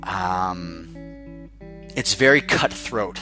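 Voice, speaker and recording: A man's voice: deep voice, animated, extremely slow, bright, forceful tone, faint music behind. An American man talking conversationally about himself in an informal, emphatic storytelling way, as a guest in an interview.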